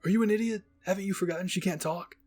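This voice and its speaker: A man's voice: high-pitched, rapid-fire, soft background music, pitched above the performer's own voice. A man voicing an indignant, hot-headed teenage boy cadet in an anime story. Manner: indignant, yelling.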